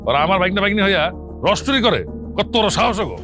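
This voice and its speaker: An actor playing a stern, scolding elder uncle in a village family drama, with a Bangladeshi accent and an accusing manner. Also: male voice, sits high, sing-song, fast talker, music in the background. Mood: angry, scolding. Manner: accusing, interrogating, threatening. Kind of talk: television family drama acting.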